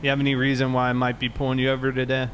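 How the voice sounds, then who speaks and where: A man's voice: medium pitch, completely flat delivery, very fast, fairly bright tone, slight background noise. A man in casual police roleplay, talking in a conversational, explanatory way. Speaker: player roleplaying a police officer. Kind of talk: casual roleplay narration and dialogue.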